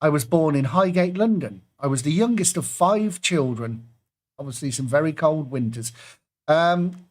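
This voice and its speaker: A man hosting an online pub quiz, reading out clues and adding jokey asides between them. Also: a little high.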